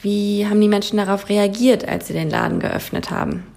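A woman's voice: medium pitch, steady tone, fairly quick, vocal fry, darkish tone. A woman hosting a podcast, interviewing a guest and then summing up in an earnest, reflective manner with a few fillers. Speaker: podcast host.

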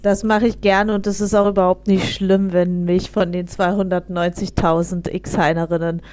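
This is a woman in her thirties from Berlin with a fairly low voice. She talks as a local politician giving conversational answers in an interview. The sound is dry, with hardly any room on it. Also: level tone, moderate pace, darkish tone, no echo. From Berlin, Germany.

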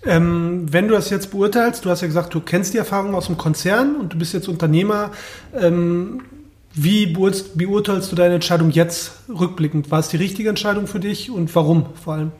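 A male interviewer with a high voice for a man asks his questions conversationally. He puts in a few hesitant fillers before each one. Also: moderate pace, a little mellow.

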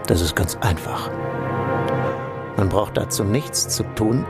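An actor playing a cynical, scheming middle-aged intelligence official in a radio play, smooth and insinuating. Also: male voice, fairly low pitch, slow pace, loud music behind the voice. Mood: veiled menace. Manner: insinuating, falsely cordial. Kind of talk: German radio play acting.

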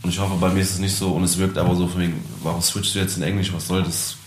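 A low-pitched man talks through his music in a relaxed, reflective interview, now and then dropping in English words. The room is echoey and there is a faint hiss behind him. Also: very fast. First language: German. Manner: reflective, laughs easily, mixes in English words. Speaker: musician guest. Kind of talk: casual interview chat.

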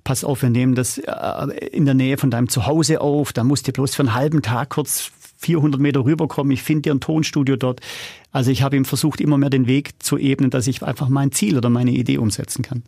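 A man with a noticeable creak in his mid-pitched voice answers as an interview guest. He tells things in a conversational, storytelling way, often quoting dialogue. The sound is dry and close. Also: steady tone, brisk, mellow, dry recording. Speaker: podcast guest, audio drama producer.

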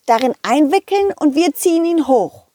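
A woman voicing a helpful little boy who stammers with excitement. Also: high voice, normal speed, no echo, studio quality, pitched above the performer's own voice. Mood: excited.

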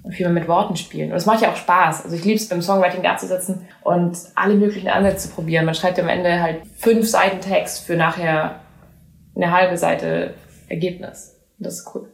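A young woman, lowish and gravelly, talking in an animated, casual way. She tumbles through restarts and fillers. The room around her sounds echoey. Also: a little fast. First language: German. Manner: animated, many fillers and restarts, laughs easily. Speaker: singer-songwriter guest. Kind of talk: casual interview chat.

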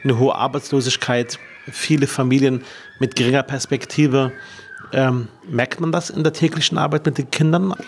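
A man in his forties, a politician, asking friendly, curious questions in a relaxed interview at a daycare centre. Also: mid-range voice, moderate pace, slight background noise. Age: 40s. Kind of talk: casual interview.

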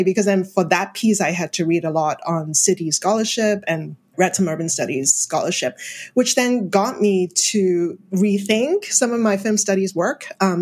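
Thoughtful woman with a husky voice. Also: medium pitch, a little mellow. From Hong Kong. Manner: thoughtful, restarts and hedges with "you know". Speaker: film studies scholar, interview guest. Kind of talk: academic interview conversation.

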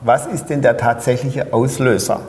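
A man's voice: mid-range voice, fairly even intonation, normal speed, darkish tone, reverberant, long reverb tail. A man with a Swabian accent explaining step by step as an interview guest, tagging his points with "ja?". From Pforzheim, Germany. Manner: explains step by step with frequent "ja?" tags. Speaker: guest speaker and coach.

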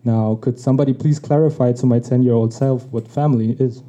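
A man whose mother tongue is Tagalog, reading a personal essay on his podcast in a reflective, measured way. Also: mid-range voice, fairly even intonation, dark tone. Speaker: podcast host. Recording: dull lo-fi recording.

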